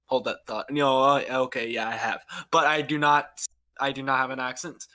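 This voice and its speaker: Man, podcast host, rambling casual chat, laughs a lot, bright tense tone. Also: mid-range voice, little pitch movement, normal speed. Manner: rambling, laughs often, jumps between topics.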